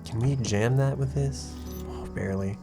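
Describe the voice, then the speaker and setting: A man streaming a puzzle game. He talks fast and low-key, with plenty of "like" fillers and a somewhat nasal voice, stumbling over words now and then. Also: creaky, loud music behind the voice. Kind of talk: casual gaming commentary, reading game text aloud. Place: home room with a desk.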